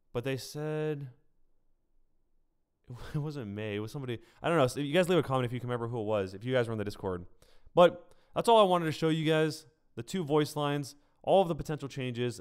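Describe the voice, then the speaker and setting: Clear-voiced man streaming casual game commentary. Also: medium pitch. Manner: conversational, addresses viewers directly. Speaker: streamer and gaming news host. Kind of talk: casual livestream gaming commentary.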